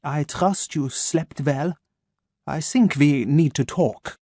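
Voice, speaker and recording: Clinical German scientist, middle-aged, measured and menacing, read by a male narrator; dry booth. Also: on the high side, mellow, no echo, voice pushed up. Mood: cold. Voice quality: crisp, clipped. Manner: slow, measured, coldly formal.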